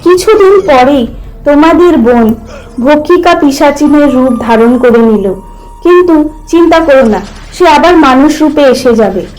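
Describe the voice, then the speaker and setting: A woman voicing a reassuring old male tantric priest who explains things in an animated story. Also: high-pitched, unhurried, faint music behind, poor audio. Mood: reassuring, explaining. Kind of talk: animated story character dialogue.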